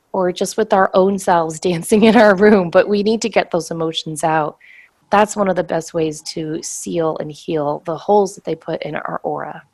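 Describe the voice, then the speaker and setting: A woman hosts a relaxed spiritual talk show in a voice made hoarse and scratchy by a cold. She sits a little low in pitch and explains things patiently and reflectively. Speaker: podcast host.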